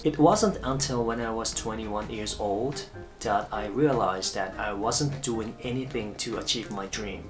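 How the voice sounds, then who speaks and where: A man in his thirties from Japan, an English coach narrating his own vlog in careful, measured English. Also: lowish voice.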